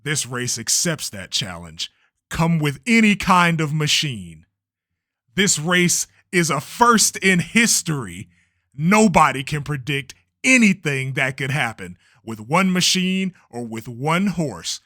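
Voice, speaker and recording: Man acting a high, dramatic older showman. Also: very animated, slow pace, sharp tone, dry recording, studio quality, full character voice. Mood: grand, showy. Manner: theatrical, bossy, emphatic. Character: blustering older male race promoter, secretly fearful showman. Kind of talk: comic-book dub voice acting.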